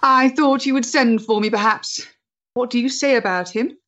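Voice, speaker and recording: An actress playing an earnest, composed Victorian companion with a measured, formal manner in a period stage drama. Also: female voice, on the high side, a bit bright, dull audio, natural voice.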